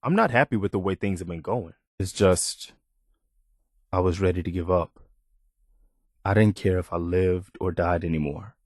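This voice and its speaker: Earnest, low-voiced wasteland militia leader. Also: male voice, no echo, studio quality. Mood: somber, sympathetic. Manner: earnest, measured, sincere. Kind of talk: video game character dialogue.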